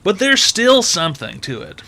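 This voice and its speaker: Brash, bright-voiced male advice host. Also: sits high, big pitch swings, normal speed, vocal fry. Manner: brash, mocking, profane, loud outbursts. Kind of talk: crude call-in advice banter.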